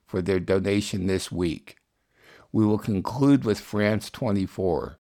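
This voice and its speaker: Low voiced guy reading a radio show script, hosting. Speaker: radio programme host. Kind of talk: scripted narration.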